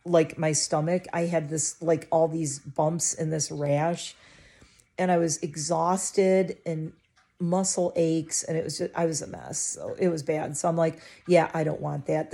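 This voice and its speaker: A middle-aged woman talks to her viewers from her living room in a loose, rambling way, often cutting herself off mid-sentence. Her voice sits low and gravelly with a dark, mellow tone. She sounds a little stuffy, and her throat is scratchy. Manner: rambling, chatty, self-interrupting. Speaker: vlogger. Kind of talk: casual chat to camera.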